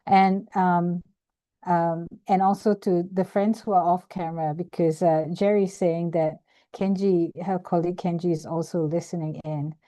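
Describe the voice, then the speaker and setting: A woman's voice: lowish voice, steady tone, a little slow, dark tone, dry recording, poor audio. Hesitant older woman from Malaysia, dry. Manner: hesitant, many ums and restarts. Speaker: webinar speaker, activist. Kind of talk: casual presentation over video call. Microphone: video call.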